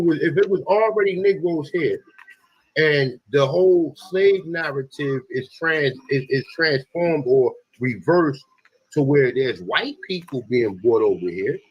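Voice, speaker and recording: A man's voice: a little high, slightly rough, highs cut off. A man arguing emphatically and informally in a live online debate. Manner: emphatic, informal, talks straight. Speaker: debate participant.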